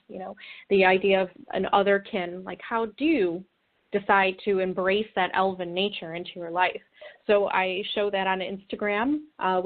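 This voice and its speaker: Earnest woman guest on a phone-like line. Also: mid-range voice. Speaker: interview guest. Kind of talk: conversational explanation. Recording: narrow phone-like call line.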